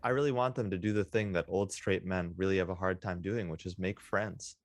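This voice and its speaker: A man co-hosting a casual podcast chat, talking in a measured, deliberate way. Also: deep voice, steady tone, no echo. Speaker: podcast co-host.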